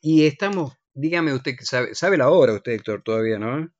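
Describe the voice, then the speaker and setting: A man's voice: medium pitch, lively intonation, normal speed. An Argentine man hosting a radio show with casual, playful, teasing banter and polite formal address. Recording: dull lo-fi radio sound.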